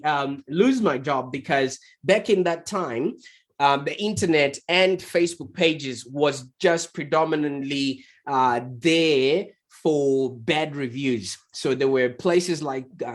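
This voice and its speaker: A man from Zimbabwe, chatty and animated, telling his story as a guest on a call interview. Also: on the high side. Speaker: guest, agency founder. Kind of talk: conversational interview over a call. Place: remote call.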